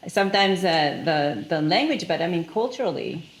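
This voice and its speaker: Argentine-accented woman, low and roomy. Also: expressive. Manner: reflective, halting with fillers. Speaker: conference panelist. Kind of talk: reflective panel talk. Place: conference room with live audience. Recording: roomy hall echo, faint noise.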